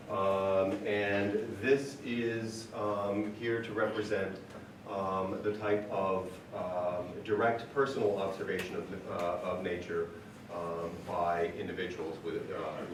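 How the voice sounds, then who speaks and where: A man with a cold-hoarse voice, presenting his paintings in a casual talk, hesitant with many ums and uhs. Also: on the low side. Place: event room with audience. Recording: echoey room, from far off, noisy.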